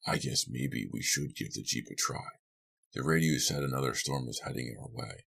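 A man voicing a gruff, greasy older man with a sly, teasing, folksy grumble in a storybook. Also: extremely low pitch, moderate pace, a bit bright, exaggerated voice. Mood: mocking, grudging.

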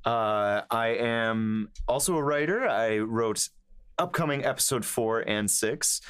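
A man's voice: mid-range voice, fairly bright tone, clear, resonant tone. A man co-hosting a podcast in casual chat, with a joking, self-deprecating manner. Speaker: podcast co-host, writer and voice actor.

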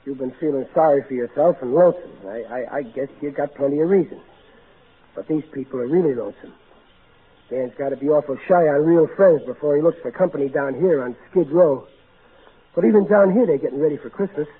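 Man playing exasperated big-hearted new joe, old radio comedy, highish voice, hissy tinny sound. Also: brisk, lightly acted. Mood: earnest, kindly. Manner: folksy, ungrammatical, earnest, blustery. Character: big-hearted, folksy, exasperated working-class everyman. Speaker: actor in a radio comedy-drama. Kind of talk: old-time American radio acting. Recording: narrow tinny vintage radio broadcast, hissy.

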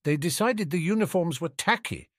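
A man's voice: a little high, lively intonation, moderate pace, no echo, clean studio sound, pitched above the performer's own voice, natural voice. A man voicing an icy, haughty aristocratic witch in an audiobook story, cool and disdainful.

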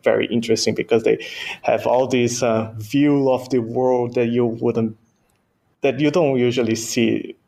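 Brazilian man, Portuguese native speaker, guest engineer; gravelly, halting, laughs under his breath. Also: medium pitch. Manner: hesitant, frequent restarts and soft laughs. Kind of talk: casual interview answers.

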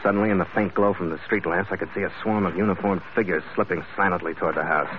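A man's voice: low voice, steady tone, fast, background noise, natural voice. An actor playing a tough, wry sea captain who narrates his own adventures in 1940s radio style. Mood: tense narration. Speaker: lead actor narrating the story. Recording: dull old radio recording, loud music behind.

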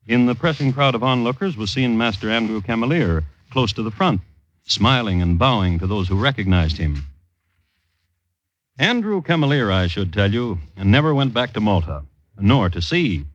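Old-radio crime chronicler: dry, precise, very varied intonation, slightly muffled lo-fi sound. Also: male voice, fairly low pitch, lively intonation. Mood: dry, wry. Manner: measured, wry, formal storytelling. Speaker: host narrator of radio crime series. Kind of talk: 1950s radio scripted narration. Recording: dull old radio recording.

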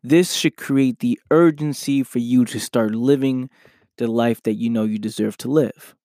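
Male podcast host, a bit hoarse, earnest motivational talk, close dry mic, mid-range voice. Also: mid-range voice, unhurried, dark tone, no echo. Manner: earnest, repeats "right?" often. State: slightly hoarse from losing his voice.

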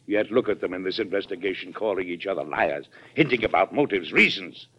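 An actor playing an earnest, fatherly American president in a vintage radio drama, measured and persuasive, with an American accent. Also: sits low, husky. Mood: weary, troubled. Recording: muffled vintage radio recording.

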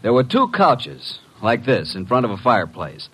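Unflappable male sidekick and narrator for vintage radio mystery, medium pitch, very lively intonation, sardonic. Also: normal speed, slightly rough, heavily acted. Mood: matter-of-fact. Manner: breezy, sardonic, mock-polite. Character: wry, cheeky male detective's assistant, streetwise and unflappable. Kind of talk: 1950s radio drama acting and narration.

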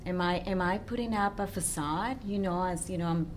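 A woman's voice: fairly low pitch, moderate pace, a little hiss or hum. A woman who works as a relationship coach, speaking as an interview guest and often checking in with her listener. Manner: warm, earnest, checks in with "yeah?".

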